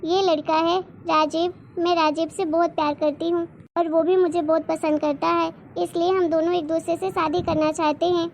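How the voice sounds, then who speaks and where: Woman as cartoon daughter, young, devoted and determined; extremely high, girlish pitch, faint noise behind. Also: level tone, normal speed, few pauses. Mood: hopeful. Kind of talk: Hindi cartoon moral-story dubbing.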